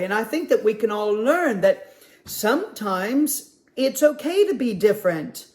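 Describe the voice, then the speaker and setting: A man reading a picture book aloud at a children's story time, with a gentle, expressive manner. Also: high voice.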